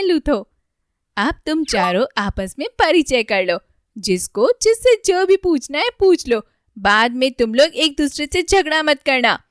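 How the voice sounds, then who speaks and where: Woman voicing a fussy old grandfather tree, high-pitched. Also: dry recording, clean studio sound, exaggerated voice. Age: older. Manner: exclaiming, admonishing, patient explaining. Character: kindly elderly grandfather tree, fussy and mediating. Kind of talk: Hindi children's cartoon dialogue.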